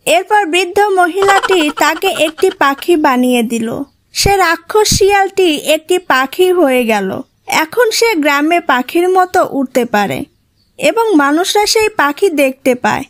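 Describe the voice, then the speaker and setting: A woman's voice: extremely high pitch, lively intonation, a little slow. A woman narrating a scripted fairy tale for a cartoon.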